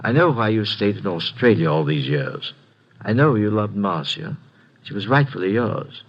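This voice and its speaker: An actor in a 1950s radio drama, reading out a remorseful farewell letter in a measured way. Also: male voice, lowish voice, animated. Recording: dull old 1950s radio recording.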